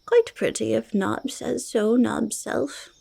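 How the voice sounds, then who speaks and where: Quirky, stubborn goblin treasure-lover, played by a woman, for ASMR roleplay; very husky whisper, unhurried and halting. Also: on the high side, dark tone, heavily acted. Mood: stubborn bickering. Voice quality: breathy, husky, creaky whisper. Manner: halting, childlike third-person speech, easily argumentative. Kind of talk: soft-spoken ASMR roleplay, mostly whispered.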